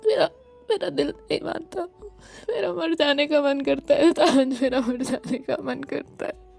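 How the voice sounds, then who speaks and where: A young woman, crushed by guilt, begs through tears in a high, gravelly voice, as a woman reading the story puts her on. She speaks slowly and her words break apart as she pleads. Soft music plays faintly under her. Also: steady tone, heavily acted. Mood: sobbing. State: crying. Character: heartbroken young woman sobbing apologies.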